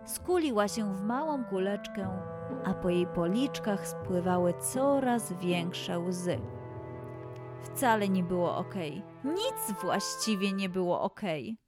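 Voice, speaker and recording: A woman storyteller narrating a scripted children's tale in an expressive, deliberate way. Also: mid-range voice, music in the background.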